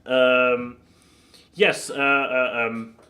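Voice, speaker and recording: A man hosting a casual podcast chat, speaking haltingly and often stammering and repeating words. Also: medium pitch, level tone, bright, forceful tone. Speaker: podcast host.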